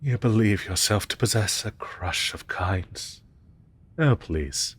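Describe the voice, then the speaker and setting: Man acting as a pompous deep-voiced ancient dragon professor. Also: expressive, fairly bright tone, breathy edge, exaggerated voice. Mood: condescending. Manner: pompous, archaic, formal diction; disdainful and deliberate. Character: haughty ancient male dragon professor, condescending to humans. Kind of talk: roleplay audio drama, scripted monologue.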